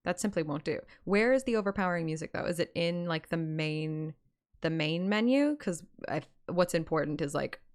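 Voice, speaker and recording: A woman's voice: lowish voice, lively intonation, moderate pace. A woman streaming a story game, moving between playful, self-mocking chat and dramatic read-aloud narration. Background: game music.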